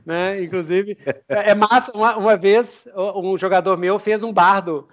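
A Brazilian man hosts a casual podcast with a notably high-pitched voice. He talks like an eager storyteller, repeating his phrases and ready to slip into characters. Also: steady tone, moderate pace. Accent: Brazilian Portuguese. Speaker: podcast host. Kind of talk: casual chat with RPG tips.

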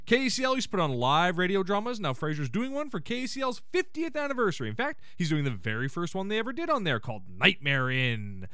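A man hosting a podcast, giving a casual, slangy comedic recap in an excitable way and acting out quotes. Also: high voice, quick pace, few pauses, clear, resonant tone, no room sound, clean studio sound. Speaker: podcast host.

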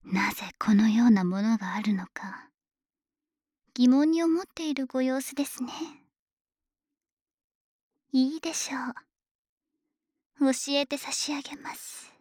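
A voice actress playing a possessive, politely formal female dragon maid in an ASMR audio drama. She talks in a soft, breathy, often whispered way. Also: high voice, fairly even intonation, measured pace, pauses often, no echo, studio quality. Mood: teasing.

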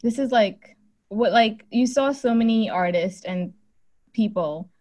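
A woman's voice: a little high, a little slow, mellow. A woman co-hosting a podcast, chatty and casual, saying "like" a lot. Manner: chatty, says "like" often.

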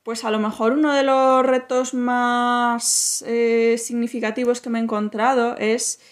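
A woman novelist from Madrid, speaking as a podcast guest and answering thoughtfully with frequent pauses and restarts. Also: on the high side, little pitch movement. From Spain, Madrid. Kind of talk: podcast interview.

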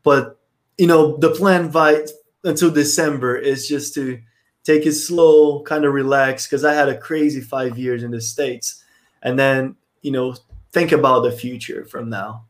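Relaxed young man from Brazil. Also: medium pitch, a little slow. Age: 20s. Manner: relaxed, many fillers. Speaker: podcast guest. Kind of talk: casual interview.

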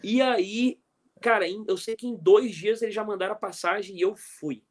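A middle-aged Brazilian man with a Brazilian Portuguese accent, a guest coach telling animated anecdotes in a casual interview and often checking that the listener follows. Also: high-pitched, moderate pace. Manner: animated anecdotes, frequent "entendeu?", chuckles.

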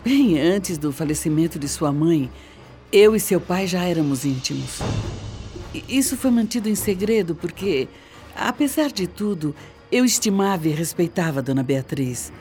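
An actress with a Brazilian accent playing a composed, calculating middle-aged mother in a radio soap opera, speaking in a measured, hesitant, confessional way. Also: fairly low pitch, animated, mellow, soft background music, close to an everyday voice.

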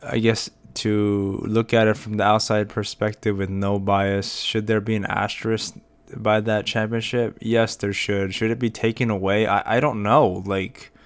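A young American man talks through his thoughts on his own podcast, often hesitating and starting over. His voice sits a little low and sounds smooth and resonant. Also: little pitch movement, measured pace. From United States. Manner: rambling, hesitant, many fillers and restarts. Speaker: podcast host. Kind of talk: casual solo commentary.

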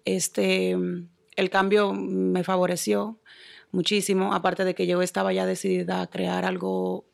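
A young woman from the Dominican Republic with a Dominican accent, answering interview questions in a soft-spoken way with frequent hesitations. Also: medium pitch, little pitch movement, a little slow, gravelly. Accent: Dominican Spanish. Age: young adult. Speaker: guest.

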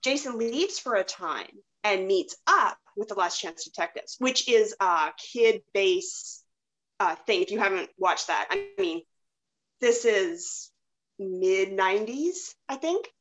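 A woman hosting a casual podcast chat, often halting as she searches for words. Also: medium pitch, animated, fairly bright tone, rough recording. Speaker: podcast host.

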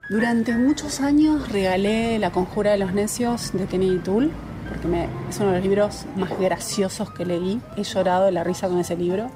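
A woman speaks casually as an interviewed writer. Her pitch is average, and music is clearly heard behind her. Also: normal speed.

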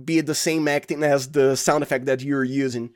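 A Brazilian man, a native Portuguese speaker, chatting casually in an interview and often restarting his phrases haltingly. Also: mid-range voice, fairly even intonation. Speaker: sound designer, interview guest.

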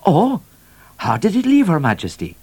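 An eager, boastful male trooper, put on by a man reading a story, speaks in a raised, bouncing pitch. He is fawning yet bold, declaiming like a storybook hero out to please. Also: wildly swooping pitch, normal speed, a little mellow, breathy edge, heavily acted. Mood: eager reassurance.

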